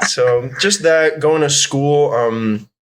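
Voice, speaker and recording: A man's voice: on the high side, takes it slowly, a little hiss or hum, rough recording. A young man born in Argentina with Spanish as his first language, talking loosely as a podcast guest, full of fillers and swearing. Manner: relaxed, rambling, heavy filler and swearing. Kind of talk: casual interview chat.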